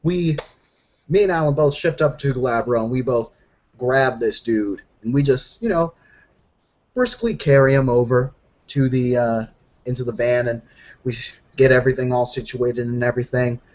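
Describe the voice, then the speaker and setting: A man's voice: mid-range voice, normal speed. Slangy man telling a story, lo-fi call. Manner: heavy swearing, slangy, animated recounting. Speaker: tabletop roleplay player. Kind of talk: casual in-character storytelling. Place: online group voice call. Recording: dull lo-fi voice call.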